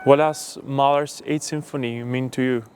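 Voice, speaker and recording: A man's voice: medium pitch, steady tone, moderate pace. Interviewer man over music. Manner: brief, formal questions. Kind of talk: interview questions. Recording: music playing under the voice.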